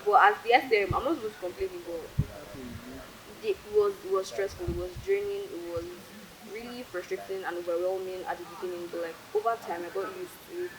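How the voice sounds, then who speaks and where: A woman's voice: medium pitch, expressive, brisk, low-quality recording. A young woman with a Nigerian accent answering interview questions as a student stage manager. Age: young adult. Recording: clear crowd chatter behind.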